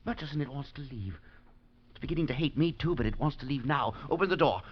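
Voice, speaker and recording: An actor playing a tormented, terrified professor, pleading and then shouting his hatred, in a 1940s radio play. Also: medium pitch, animated, fast, slightly rough. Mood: hysterical. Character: tormented older male professor, haunted, terrified. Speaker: Professor Guildea. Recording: dull lo-fi 1940s radio broadcast.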